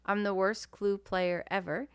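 A woman who grew up speaking Greek, co-hosting a casual podcast chat, animated and self-deprecating, often laughing. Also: medium pitch, measured pace, smooth. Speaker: podcast co-host.

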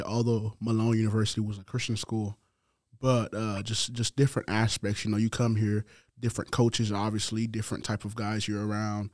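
A man in his twenties from Haiti, a college football player answering interview questions in a soft-spoken, halting way. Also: on the low side, no room sound, clean studio sound. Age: 20s.

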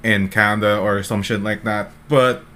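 A man's voice: lowish voice, steady tone, normal speed, sharp tone. A man from the Philippines hosting a casual streamer podcast, rambling through questions with many filler words. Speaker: podcast host. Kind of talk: casual chat.

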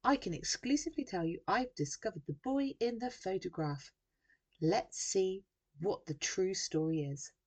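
A woman voicing a pushy, snappy female TV news reporter in a storybook, with a forceful delivery. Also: mid-range voice, lively intonation, moderate pace, close to an everyday voice. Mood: bright, on air. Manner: forceful, over-bright on-air delivery.

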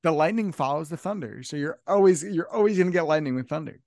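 Gravelly, higher-pitched young male host. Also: lively intonation, fast talker, a little mellow, dry recording, studio quality. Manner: enthusiastic, repeats phrases, restarts often. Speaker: podcast host. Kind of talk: casual podcast interview chat.

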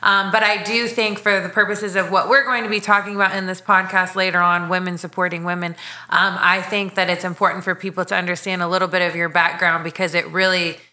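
A woman's voice: on the low side, flat delivery, fairly quick, bright, forceful tone, reverberant. A woman hosting a podcast, chatting casually with many fillers and frequent laughs. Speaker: podcast host.

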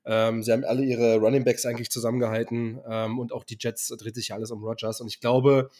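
A man's voice: medium pitch, little pitch movement, fast talker, warm tone. A young man co-hosting a casual podcast, a bit under the weather, speaking hesitantly with many fillers and hedges. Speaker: podcast co-host.

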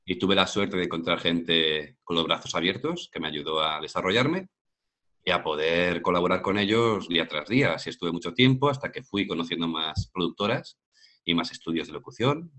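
A man around forty with a Castilian accent from Madrid speaks in a low-pitched voice. He is a voice-over professional giving conversational answers as an interview guest. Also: animated, moderate pace, pushed and bright. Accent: Castilian Spanish from Madrid. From Madrid, Spain.

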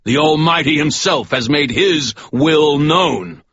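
A man voicing a stern middle-aged holy warrior who preaches loudly and righteously. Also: on the high side, slow, highs cut off, voice pushed up. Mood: righteous fury.

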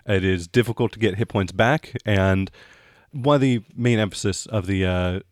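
American male game master, slightly deep, emphatic but plain-spoken rules explainer, dry close recording. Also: moderate pace, no room sound.

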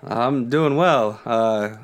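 A man's voice: mid-range voice, extremely slow. A man, a game show contestant, answering hesitantly and hedging over a video call. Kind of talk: casual chat.

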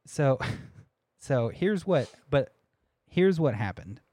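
A man's voice: mid-range voice, sing-song, slow, warm tone, dry recording. A young man co-hosting a podcast, telling a story in a rambling, laughing way with lots of restarts. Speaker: podcast co-host. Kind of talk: casual storytelling chat.

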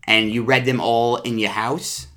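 A man's voice: medium pitch, fairly even intonation, unhurried, bright, forceful tone. Playful male game show host. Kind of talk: comedic board game review.

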